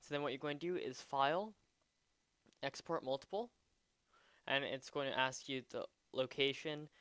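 A man presents an informal how-to at his computer in a middle-pitched, clean voice. He works it out aloud as he goes, with some hesitation. The sound is dry, with little room around it. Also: normal speed, a bit bright, clear, resonant tone, dry recording. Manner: hesitant, thinking aloud while demonstrating. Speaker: tutorial presenter. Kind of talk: casual unscripted software tutorial.

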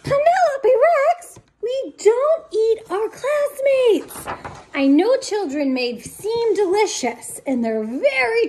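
Storybook dinosaur father read by a woman: slow, emphatic, reproving, patient, with a high swooping voice. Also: a little mellow, full character voice. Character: adult father dinosaur, stern, patient, gently scolding.

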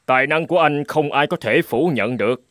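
A man playing a big, crafty fur trader in an animated folk tale, with a measured, drawling, persuasive delivery. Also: a little high, dry recording, studio quality, lightly acted. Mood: sly, flattering. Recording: music behind the voice.

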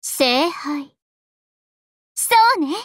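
A woman's voice: high-pitched, extremely slow, a bit bright, no room sound, studio quality, exaggerated voice. A woman voicing a vain, bubbly young princess idol in a Japanese anime game, with a haughty, playful and theatrical delivery. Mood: haughty, excited.

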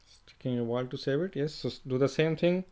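Male trainer, mid-pitched, casual screen-share walkthrough, thinks aloud with restarts. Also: quick pace, mellow, clear, resonant tone. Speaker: software trainer. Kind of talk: screen-recorded tutorial walkthrough.

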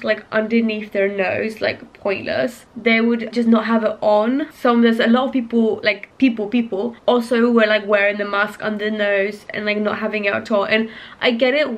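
A young woman in her twenties chatting casually to the camera at home, giggling and rambling with lots of fillers. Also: a little high. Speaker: vlogger. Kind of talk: casual vlog chat to camera. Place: home kitchen and living room. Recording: roomy indoor echo.

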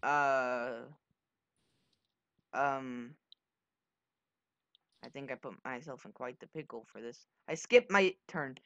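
Young man, higher-voiced casual gamer, animated, joking, dry slightly muffled recording. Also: takes it slowly, long pauses, clean tone, no room sound. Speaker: gaming video host and player. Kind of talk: casual gaming chat.

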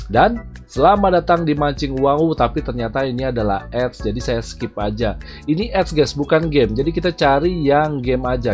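A man's voice: medium pitch, a little mellow. A man, chatting casually to viewers as he commentates on mobile gameplay. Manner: chatty, addresses viewers as "guys". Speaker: gaming YouTuber, host. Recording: music behind the voice. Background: music.

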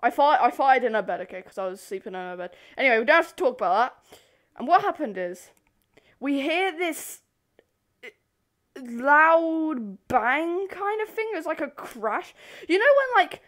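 A young woman telling a story casually to camera in an animated, rambling, giggly way, easily sidetracked. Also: sits high, normal speed. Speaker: video host. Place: bedroom.

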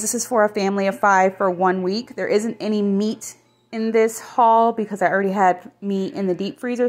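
Friendly woman chatting casually. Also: medium pitch. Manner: relaxed, friendly, lots of "um" fillers. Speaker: vlogger showing a grocery haul. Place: home kitchen table indoors. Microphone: phone camera pointed at table.

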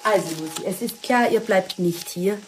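A woman's voice: medium pitch, moderate pace, a little hiss or hum, natural voice, poor audio. A woman playing an insistent, dismissive mother of a grown daughter in a radio drama. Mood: brisk, decided. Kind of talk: German radio drama acting.

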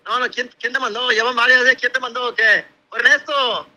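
A man's voice: extremely high pitch, fairly even intonation, a little fast, pushed and bright. A man with a rural Mexican accent arguing over the telephone, irritated, slangy and repeating himself. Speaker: prank call victim. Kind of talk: heated phone argument. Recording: phone call with crowd-like background din.

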